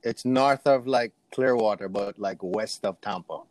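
A man's voice: lowish voice, a little slow, no room sound. A man from Jamaica chatting casually as a podcast guest, telling personal anecdotes in an easygoing way.

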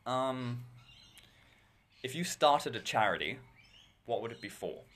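A teenage boy with a low bass voice, chatting casually as podcast co-host, rambling and talking in bursts. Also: expressive, slow, a bit bright. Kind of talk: casual chat.

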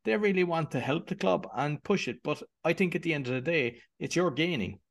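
A man's voice: fairly high pitch, fairly quick, vocal fry. A man hosting a podcast, talking casually and encouragingly with his guest. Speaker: podcast host. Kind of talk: casual interview conversation.

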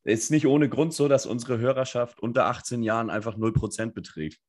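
A young man co-hosting a podcast in casual, joking chat, hoarse from a cold. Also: medium pitch, fairly quick, a little mellow. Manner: joking, laid-back banter. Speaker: podcast co-host.

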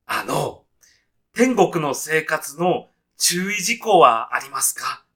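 A man acts out a straitlaced, timid salaryman in a comedy radio play. His voice is fairly high for a man and clearly bright. He speaks with careful politeness, holding back and hesitating as he goes. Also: sing-song, takes it slowly, full character voice. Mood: hesitant, uneasy. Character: timid, straitlaced salaryman newly arrived in heaven. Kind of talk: deadpan comic radio sketch acting.

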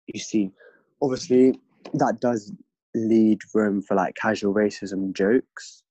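A man's voice: lowish voice, fairly even intonation, slow, mellow, no echo. A young man from London with an English accent, a podcast guest reflecting earnestly in casual conversation. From London, England. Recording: dull lo-fi dry recording.

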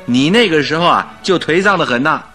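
A man's voice: on the high side, animated, brisk, pushed and bright, faint music behind. A man playing a detective's skeptical, nagging friend in a radio drama, grumbling and familiar. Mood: scolding, teasing.

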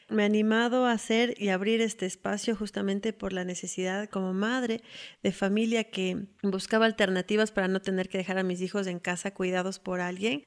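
Reflective woman with a Quito accent. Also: mid-range voice. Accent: Ecuadorian Spanish, Quito. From Ecuador. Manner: reflective, unhurried, with hesitant fillers. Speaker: outdoor guide and tour operator founder. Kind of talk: personal self-introduction.

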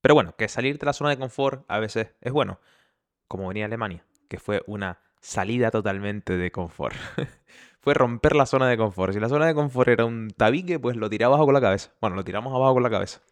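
A young man with a Canarian Spanish accent hosts a casual podcast from his home. He talks in a chatty, easygoing way and breaks off for joking asides. His voice sits at an ordinary, middle pitch for a man. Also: fast talker. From Canary Islands, Spain. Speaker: podcast host. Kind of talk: casual chat. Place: at home, indoors.

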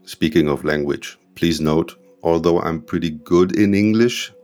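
This is a man whose voice sits extremely low. He hosts his own podcast in a frank, self-deprecating way and drops casual swear words. Faint music plays underneath. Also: a little slow, a little mellow. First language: German. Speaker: podcast host, music producer. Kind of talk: solo podcast monologue.

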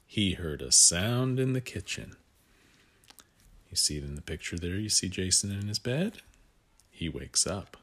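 Male storyteller for small children: very low voice, slow delivery, gentle guiding asides. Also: expressive, breathy edge. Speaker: picture book reader. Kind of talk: read-aloud storytelling for young children.

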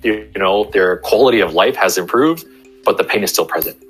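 A young chiropractor talks in a casual interview, walking through everyday examples with many restarts. His voice sits low but sounds bright and forward. Faint music plays softly behind him. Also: expressive, a little fast, rough recording.

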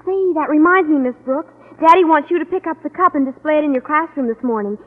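Woman playing a polite, very high-voiced schoolgirl. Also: quick pace, phone-quality sound, close to an everyday voice. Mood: polite, helpful. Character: polite, helpful teenage schoolgirl. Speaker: actress in a radio comedy. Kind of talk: old-time radio sitcom acting. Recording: thin, reverberant, hissy old radio recording.

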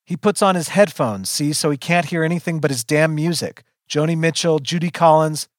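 A man playing a frantic, hot-tempered story character who yells with desperate impatience. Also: on the high side, moderate pace, no room sound, clean studio sound, pitched above the performer's own voice, lightly acted. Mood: frantic, angry.

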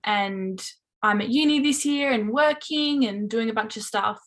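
A woman's voice: fairly high pitch, a little slow. A twenty-year-old woman from Tasmania with an Australian accent, a guest on a podcast, talking candidly with lots of "like" and casual swearing. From Hobart, Tasmania. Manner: chatty, candid, frequent "like" fillers, swears casually. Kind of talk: casual chat.

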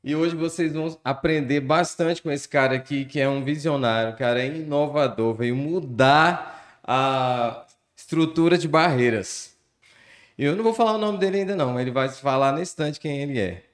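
A man's voice: fairly high pitch, moderate pace. A man who hosts a podcast and talks in a chatty, joking way. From western Bahia, Brazil. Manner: jokey, chatty, laughs often. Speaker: podcast host. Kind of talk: casual podcast hosting.

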